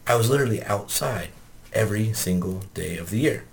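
A man's voice: fairly low pitch, lively intonation, a little fast, vocal fry, a little hiss or hum. A man hosting a casual group podcast, joking and ribbing his friends, with frequent swearing. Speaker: podcast host.

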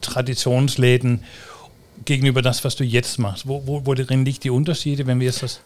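A man's voice: medium pitch, level tone, normal speed, a little mellow. A middle-aged man hosting an interview, chatty and hesitant with many fillers. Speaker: podcast host. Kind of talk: casual interview talk.

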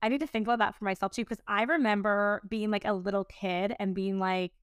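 A woman's voice: mid-range voice, fairly even intonation, fairly quick, clean tone, dry recording, clean studio sound. A woman in her late twenties talking casually as a podcast host, in an informal, rambling way sprinkled with "like". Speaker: podcast host and business owner. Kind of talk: casual conversational podcast chat.

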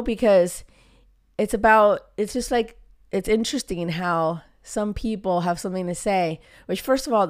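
A woman's voice: medium pitch, clear, resonant tone. A woman, hosting a casual solo podcast as a love coach, talking emphatically with many fillers while her voice comes back after a cold. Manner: emphatic, gets riled up, frequent fillers. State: recovering from a cold, voice coming back.

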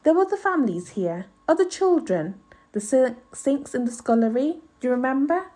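A woman voicing an anxious, sharp-tongued, determined mother in a storybook, with emphatic, homely ungrammatical speech. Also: sits high, lively intonation, moderate pace. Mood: firm, persuading. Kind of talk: storybook read-aloud character voice.

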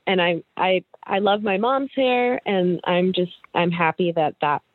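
A woman's voice: lowish voice, unhurried, no echo. A young woman interview guest, talking in a hesitant, rambling way with many restarts. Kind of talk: casual interview chat. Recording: dry, tinny phone-like line.

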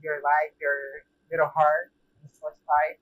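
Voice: mid-range voice, level tone, slow pace, phone-quality sound. A speaker with a Brazilian accent co-hosting a podcast in casual, slangy, informal talk.

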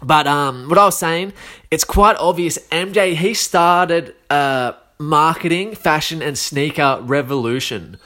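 Australian guy, 30s, under the weather, loose slangy solo chat, voice sits a bit high. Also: measured pace, bright, forceful tone. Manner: rambling, slangy, many fillers and restarts. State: a bit sick, coughing.

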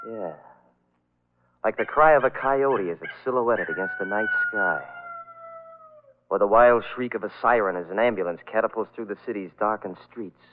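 A man's voice: fairly low pitch, natural voice. An actor playing a haunted man who tells an eerie personal tale in dramatic, musing 1940s radio style. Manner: brooding, dramatic, hesitant musing. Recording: tinny vintage radio broadcast.

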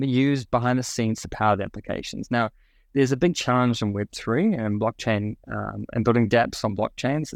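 A man's voice: medium pitch, no echo. A young man with a New Zealand accent, a podcast guest explaining a product with many ums and restarts. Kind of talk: podcast interview.

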